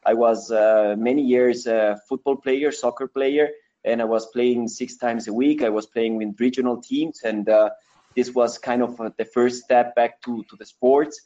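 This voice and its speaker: A man's voice: medium pitch, steady tone, normal speed, mellow, muffled recording. A man in his thirties from Ecuador, answering interview questions in English as a with many fillers and restarts. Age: 30s. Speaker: mountaineer guest.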